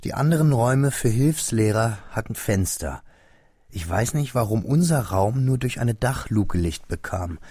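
An actor playing a grown man who wistfully recalls his schooldays, narrating a radio drama in a measured, literary way. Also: medium pitch, lively intonation, dark tone, husky, dry recording, studio quality. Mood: quiet, reflective. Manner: measured, detailed, literary storytelling. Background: music.

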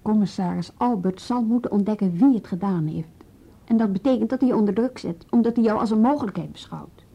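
Husky, mellow, level-headed policewoman. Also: mid-range voice. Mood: firm, level. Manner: careful, deliberate, matter-of-fact. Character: policewoman, level-headed, frank, guarded adviser to a young suspect. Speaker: police colleague. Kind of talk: radio drama acting. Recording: dull radio play recording.

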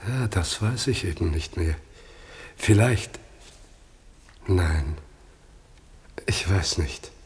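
A man acting a bewildered old man brought back from death in a radio play, halting, dazed and plaintive. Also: low-pitched, lively intonation, exaggerated voice. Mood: dazed, searching. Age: older.

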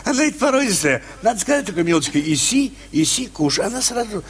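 Radio drama with a drunken, sentimental man whose fairly high voice swoops up and down. He stammers, repeats himself and coaxes insistently, like someone several glasses in. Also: very animated, fast talker, a bit bright. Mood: stubborn, boastful. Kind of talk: audio drama acting.

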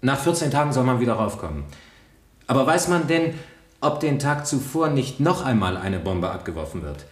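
A man's voice: medium pitch, very animated, normal speed, lightly acted. An actor playing an uneasy, evasive salesman giving hesitant, defensive testimony in a radio play. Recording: echoey room, 1970 radio play.

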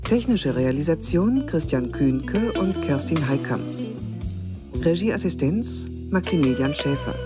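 Scripted radio credits announcer, lively intonation, medium pitch, plucked guitar music behind. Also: a little slow.

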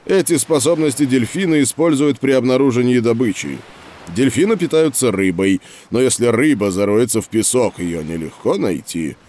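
A man playing a patient scientist who explains experiments to children in a measured, didactic way in an educational audio drama. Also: mid-range voice, expressive, darkish tone, natural voice. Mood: explanatory.

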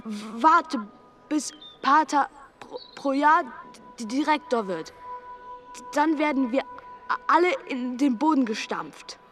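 A cynical, excitable schoolboy in a radio drama. His voice is high and he speaks slowly, catching on words with a stammer. The delivery is theatrical and florid, over faint music. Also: heavily acted. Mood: sardonic. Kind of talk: German radio drama acting.

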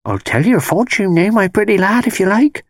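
A man's voice: high-pitched, expressive, normal speed, dry recording, studio quality, pitched above the performer's own voice. A man voicing a malicious, wheedling old woman who tells fortunes, with rustic dialect speech and ominous insistence, in an audiobook. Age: older. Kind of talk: dramatised audiobook character voice.

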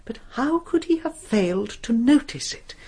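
A woman playing a scatty, opinionated middle-aged English crime novelist in a radio drama, husky and given to exclaiming. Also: fairly high pitch, sing-song, normal speed, mellow, exaggerated voice. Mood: musing, fretful. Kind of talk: British radio drama acting.

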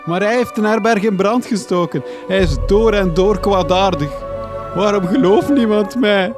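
Man playing a high-pitched, exasperated Flemish reporter. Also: moderate pace, music in the background, lightly acted. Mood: accusing. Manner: stammering, protesting. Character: exasperated, indignant, hapless young male reporter. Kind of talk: gothic horror comedy radio play acting.

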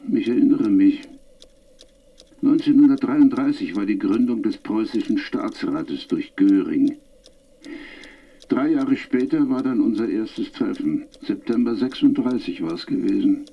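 An actor playing an older man who slowly recalls past events. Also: lowish voice, gravelly, slightly rough, dull audio, full character voice. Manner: slow, reminiscing.

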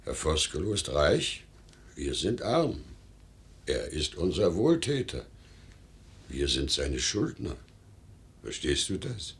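A poor, good-natured father of about fifty in a radio drama. He speaks in a low, husky voice, formal and earnest, with an anxious undertone. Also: big pitch swings, slow, long pauses, full character voice. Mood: solemn, urging. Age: middle-aged. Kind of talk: German radio play acting.

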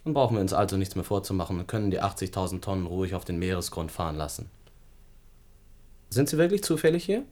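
A man's voice: deep voice, expressive, fast, a little mellow. An actor playing a curt, secretive male scientist in a German science fiction radio drama. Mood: sardonic, guarded. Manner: curt, commanding, dismissive. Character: brusque, secretive male scientist guarding a lonely atoll.

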